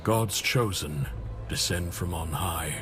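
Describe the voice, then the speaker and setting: A solemn, slow-spoken city leader. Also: male voice, sits low, music in the background. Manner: solemn, measured speechmaking.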